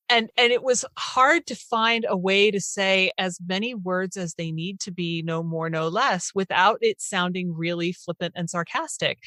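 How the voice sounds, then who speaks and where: A woman's voice: mid-range voice, a bit bright, no echo. A woman hosting a podcast, talking casually and conversationally with a guest. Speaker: podcast host and interviewer.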